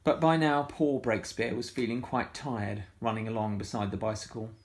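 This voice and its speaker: A man reading a picture book aloud to schoolchildren, animated and full of sound effects. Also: medium pitch, normal speed. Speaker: storytime reader for schoolchildren.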